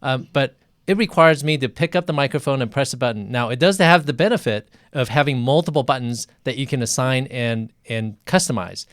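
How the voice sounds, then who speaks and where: Man, physician host, smooth resonant voice, mid pitch, halting delivery, repeated phrases, conversational. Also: dry recording, studio quality. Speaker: physician interviewer hosting a livestream. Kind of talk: conversational live interview. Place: quiet sound-treated room.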